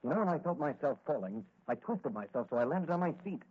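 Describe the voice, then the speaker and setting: A man's voice: a little high, very animated, quick pace, breathy edge. An actor playing a confident, hearty young-hero patrolman in an earnest 1940s radio acting style. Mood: confident, breezy. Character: confident, cheerful heroic male patrolman crime-fighter. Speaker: lead actor in radio serial. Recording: muffled lo-fi vintage radio recording.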